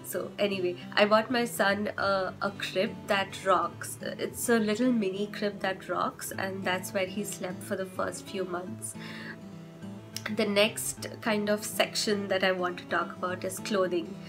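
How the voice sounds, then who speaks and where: A young woman vlogging with chatty parenting advice, her voice hoarse from a cold, often stopping to rephrase with fillers. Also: mid-range voice, fairly even intonation, measured pace, over music. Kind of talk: casual advice vlog.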